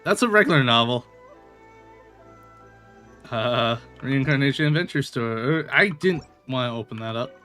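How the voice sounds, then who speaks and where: Male game streamer, bright forceful voice, unhurried rambling casual chat, music playing behind. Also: medium pitch, expressive. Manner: informal, rambling, chuckling. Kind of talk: casual livestream chat over gameplay.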